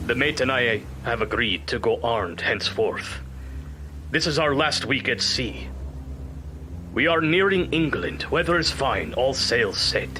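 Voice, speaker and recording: An actor playing a weary ship's captain, narrating his log gravely in clipped, deliberate phrases in an audio drama. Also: medium pitch, lively intonation, background noise, lightly acted. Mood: weary, grim.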